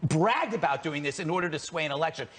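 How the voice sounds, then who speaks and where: A man with an American accent and a fairly high voice. He argues his points as a talk show panelist, mixing opinion with wisecracks. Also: lively intonation, fast, a bit bright, slightly rough. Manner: wisecracking, argumentative.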